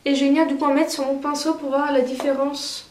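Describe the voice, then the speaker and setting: A woman's voice: sits high, level tone, fast, a little mellow, roomy sound. A woman vlogger with a cold, chatting casually through a makeup tutorial with many fillers. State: stuffy with a cold. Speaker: beauty vlogger.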